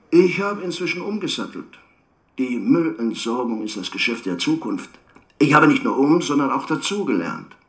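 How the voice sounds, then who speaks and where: A man's voice: mid-range voice, echoey room. An actor playing a self-justifying businessman being interviewed in a radio drama, conciliatory and self-satisfied. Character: smooth-talking, self-justifying male businessman.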